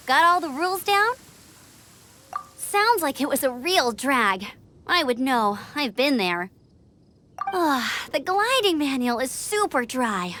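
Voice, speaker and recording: A woman's voice: sits high, bright, forceful tone, slight background noise, exaggerated voice. A woman voicing an eager, slightly bossy young knight scout who chats playfully about rules in game dialogue. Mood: confiding. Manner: upbeat, chatty, rule-quoting but playful. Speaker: gliding examiner. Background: game music.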